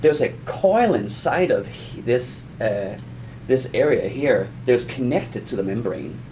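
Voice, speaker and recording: A man with a medium-pitched voice explains things in an informal lesson. He talks conversationally and haltingly, circling back and repeating himself. There is some light noise behind him. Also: lively intonation, mellow. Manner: halting, rambling explanations with self-repetition. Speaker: audio explainer teaching beginners.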